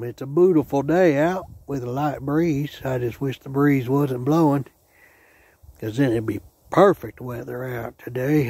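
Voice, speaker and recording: Hoarse folksy country guy vlogging. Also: medium pitch, darkish tone. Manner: folksy, joking, drawling. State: hoarse, losing his voice. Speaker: railfan vlogger. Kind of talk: casual folksy vlog. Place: outdoors by a car, then indoors at home. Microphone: phone at arm's length.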